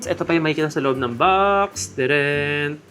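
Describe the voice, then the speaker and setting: A man's voice: fairly high pitch, moderate pace. A man with a Filipino accent gives a casual, chatty product review, speaking directly to viewers in a jokey way. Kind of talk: casual unboxing vlog narration. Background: background music.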